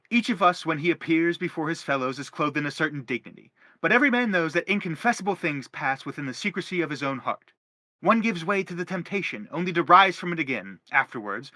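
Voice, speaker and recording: Man playing middle-aged father, theatrical, forceful bright tone, higher-pitched, self-justifying, declamatory. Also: dry recording. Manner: declamatory, formal, rhetorical. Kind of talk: theatrical stage play acting.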